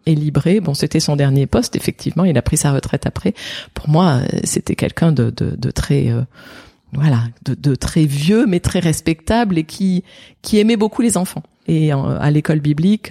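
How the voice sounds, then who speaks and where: Creaky, mellow-voiced woman pastor in her 50s. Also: low-pitched. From France. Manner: reflective, hesitant storytelling with many fillers. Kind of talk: interview conversation. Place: indoors, office.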